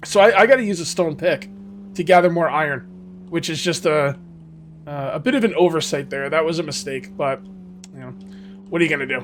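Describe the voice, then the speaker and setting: A man with a hoarse, fading voice, casually commenting on his survival game as he plays and rambling to his viewers. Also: a little high, brisk, pauses often, slight background noise. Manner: rambling, self-correcting, talks to viewers. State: losing his voice. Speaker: gaming video host. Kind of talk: casual let's-play commentary.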